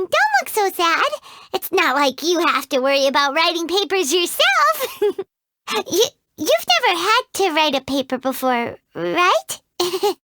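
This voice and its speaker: Little fairy sidekick, super high and all over the place, whiny and excitable, game voice. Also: female voice, no room sound, clean studio sound, full character voice. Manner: refers to herself in third person, excitable and whiny. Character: tiny floating female fairy companion, chirpy and greedy. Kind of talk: game voice acting.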